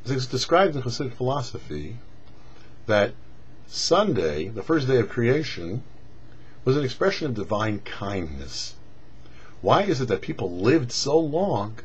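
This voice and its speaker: A man's voice: medium pitch, very animated, a little slow, husky. A man presenting religious commentary as a rabbi, teaching didactically in short, emphatic phrases. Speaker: rabbi presenting a weekly Torah portion show. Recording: dull lo-fi audio with faint noise.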